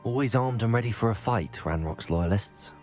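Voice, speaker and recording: A man plays a composed young wizard in a game cutscene. He speaks calmly and thoughtfully in a fairly low voice, with faint music underneath. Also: moderate pace, lightly acted. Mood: observant. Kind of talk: video game cutscene acting.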